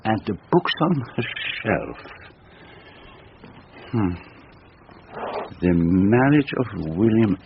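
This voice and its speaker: An actor playing a shrewd, fastidious older detective with a French-speaking Belgian accent, musing precisely in a radio drama. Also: male voice, low voice. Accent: French-speaking Belgian, acted. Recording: dull, noisy radio drama recording.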